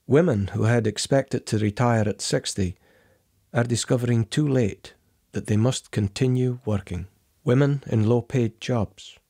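A man giving a measured, indignant scripted documentary narration as a voice-over. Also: medium pitch, dark tone, husky.

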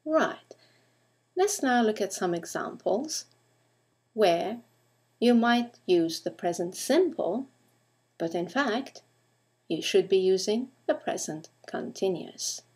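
A woman's voice: mid-range voice, lively intonation, takes it slowly, pauses often, darkish tone. A woman teacher narrating a scripted grammar lesson, enunciating carefully and putting questions to the listener.

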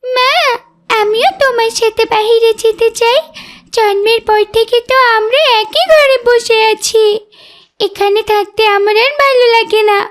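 Little girl duckling, extremely high voice, childlike, headstrong, pouty, naive cartoon character. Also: steady tone, unhurried. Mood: pleading. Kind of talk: animated fairy-tale voice acting.

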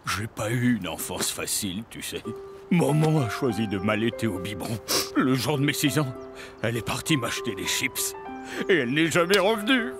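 An actor voicing a tough aging biker gang leader in a cartoon, gruff yet sentimental about his mother. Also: mid-range voice, sing-song, moderate pace, music in the background. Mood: wistful. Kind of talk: French cartoon acting.

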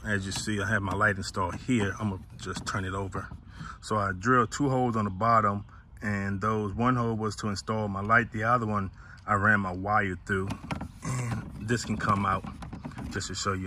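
A man's voice: lowish voice, bright, forceful tone. A man giving a casual, plain, step-by-step how-to walkthrough from behind a handheld camera outdoors. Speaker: boat-owner hobbyist giving a walkthrough. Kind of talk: casual how-to vlog narration. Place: outdoors in a grassy backyard. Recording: outdoor background noise.

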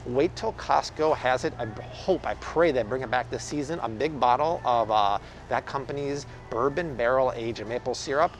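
A man's voice: mid-range voice, moderate pace, few pauses, slight background noise. Upbeat man talking through a face mask. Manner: enthusiastic, punchy, addresses viewers as fam. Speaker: food vlogger. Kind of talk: casual grocery haul commentary. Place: large supermarket aisle. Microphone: handheld camera close up, wearing face mask.

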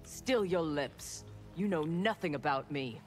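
A woman's voice: sits low, animated, a little slow. A woman playing a proud, cold, ambitious armored warrior in a video game, stern and formal. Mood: scornful. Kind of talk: video game dramatic dialogue. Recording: music behind the voice.

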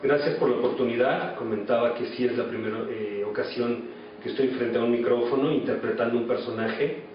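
A man's voice: medium pitch, steady tone, brisk. A Mexican man in his forties giving reflective answers as an actor being interviewed. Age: 40s. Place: small office indoors. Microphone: camera mic at a distance. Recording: echoey, distant, dull, noisy room.

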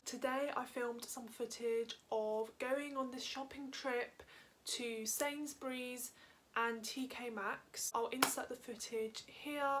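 Young woman vlogger, higher voice, chatty and upbeat, bedroom to camera, faint hiss. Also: bright, forceful tone. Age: young adult. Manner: chatty, upbeat, quick asides. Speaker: lifestyle vlogger. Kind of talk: casual haul vlog talk to camera. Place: bedroom, indoors. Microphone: camera on a stand in front of her.

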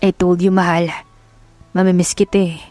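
Devoted young male lead, voiced by a woman, gentle, soft, slightly low, audiobook romance over music. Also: little pitch movement, normal speed, no room sound, close to an everyday voice. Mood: tender. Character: tender, devoted young man comforting his girlfriend. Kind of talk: audiobook character acting.

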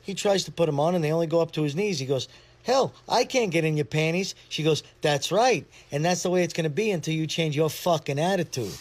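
Man telling a joke, slightly high voice. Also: fairly quick. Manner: plain storytelling, acting out quoted lines. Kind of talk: scripted joke storytelling in present tense.

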